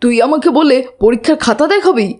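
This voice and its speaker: A husky, fairly high cartoon schoolboy voice played by a woman. He sounds sensible yet mocking, chiding. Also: expressive, fairly quick, darkish tone. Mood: amused, mocking. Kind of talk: scripted cartoon dubbing.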